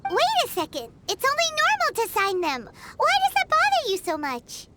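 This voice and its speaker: A woman voicing a tiny, cheeky fairy girl companion in a video game, with a squeaky childlike voice and a perky, blunt manner. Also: huge pitch swings, normal speed, very noisy, heavily acted. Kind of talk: video game character acting. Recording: loud music behind. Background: game music.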